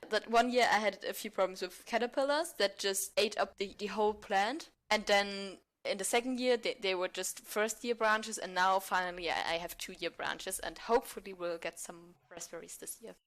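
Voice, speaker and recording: Young woman chatting casually, medium pitch. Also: moderate pace, few pauses, fairly bright tone. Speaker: podcast guest, amateur theatre member. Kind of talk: casual chat.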